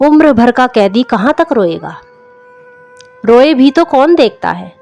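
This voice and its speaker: A woman's voice: a little high, big pitch swings, a little slow, creaky, a little mellow. A woman reading a literary story aloud as a scripted narration, in the manner of an audiobook storyteller. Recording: music under the voice. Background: background music.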